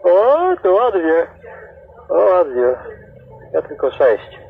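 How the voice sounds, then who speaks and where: Gravelly man over tinny CB radio. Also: high voice, huge pitch swings, takes it slowly. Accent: Polish, Greater Poland. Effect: CB radio transmission. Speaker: amateur CB radio operator. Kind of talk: casual radio chat. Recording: tinny, noisy CB radio reception. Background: radio static.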